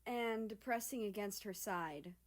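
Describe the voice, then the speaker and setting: A woman's voice: medium pitch, slow pace. A woman narrating scripted fiction as a story reader.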